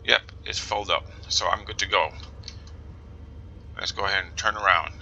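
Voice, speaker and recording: A man's voice: sits low, pushed and bright, muffled recording, slight background noise. A man with a New Jersey accent giving casual commentary while playing a flight sim, musing aloud and slipping into whispers. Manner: musing aloud, reading instructions slowly, often drops to a whisper.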